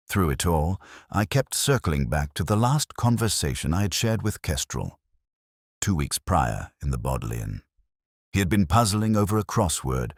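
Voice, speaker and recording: A man narrating a literary detective story in the first person, in a measured, solemn and reflective manner. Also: very deep voice, dry recording, studio quality.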